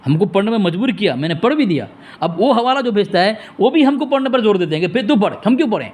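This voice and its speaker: Male debater, high-pitched voice, scolding and combative, hammers points through repetition. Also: very animated, fast talker, a little mellow. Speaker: religious debater and commentator. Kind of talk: heated phone debate and polemic to listeners.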